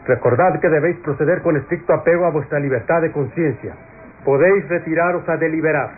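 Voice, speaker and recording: An actor playing a solemn presiding judge in an old radio drama, with formal, measured oratory. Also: male voice, fairly high pitch, soft background music, lightly acted. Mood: grave, formal. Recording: muffled lo-fi old radio recording.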